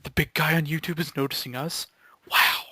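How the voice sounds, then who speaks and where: A man's voice: fairly high pitch, steady tone, a little fast, pushed and bright, husky, no echo, studio quality. A man in casual joking banter, with a goofy, incredulous manner. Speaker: member of a gaming video group.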